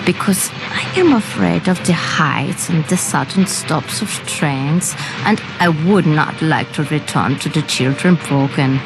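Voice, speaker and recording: A prim, pious Swedish lady in a game, with a low voice and a gravelly edge. She speaks earnestly and fussily in quaint, accented English, with music underneath. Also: a little slow, hardly any pauses, poor audio. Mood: earnest, fussy. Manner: earnest, fussy, quaint wording.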